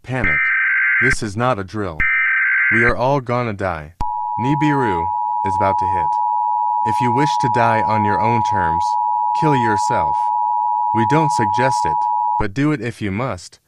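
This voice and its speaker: Man as a low-voiced, grave emergency announcer. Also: expressive, a little slow, very noisy. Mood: ominous, grave. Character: grave male emergency alert announcer of doomsday warnings. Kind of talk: scripted emergency broadcast announcement.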